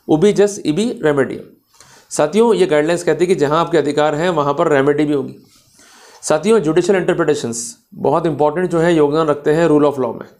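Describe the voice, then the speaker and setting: A man's voice: a little high, a little mellow. A man with an Indian accent lecturing on law in a didactic way, asking rhetorical questions and answering them as he explains. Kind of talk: explanatory lecture to viewers, mixing Hindi with English legal terms.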